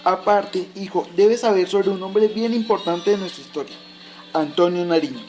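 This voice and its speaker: A man acts out a patient father in a scripted radio drama. His voice sits high for a man and he lectures his son in a didactic, recited way. Music plays faintly behind him. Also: normal speed, a little mellow, close to an everyday voice, low-quality recording. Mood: explanatory.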